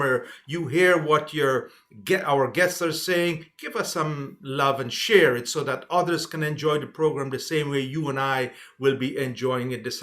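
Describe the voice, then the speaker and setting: A man's voice: a little high, a bit bright. A middle-aged man co-hosting a livestream over a video call. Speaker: co-host. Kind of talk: upbeat livestream promotion.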